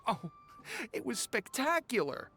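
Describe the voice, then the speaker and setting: Theatrical forest creature, lively swooping delivery. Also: male voice, very high voice, unhurried, faint music behind, exaggerated voice. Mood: theatrical, earnest. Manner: dramatic storytelling, earnest. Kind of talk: video game voice acting.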